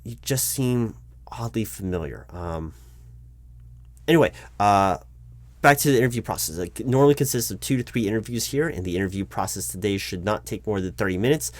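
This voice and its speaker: A man playing a nervous, polite recruiting manager in a job interview roleplay, speaking aloud in a halting, stammering, apologetic way. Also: fairly low pitch, expressive, dry recording, close to an everyday voice. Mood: businesslike. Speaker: roleplay performer. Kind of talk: one-sided job interview audio roleplay, spoken aloud.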